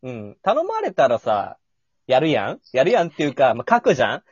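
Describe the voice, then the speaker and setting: Fast-talking, animated man on muffled audio. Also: mid-range voice, breathy edge, no room sound. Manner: chatty, laughs often while talking. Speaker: amateur scriptwriter and voice-drama performer. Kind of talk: casual after-show chat.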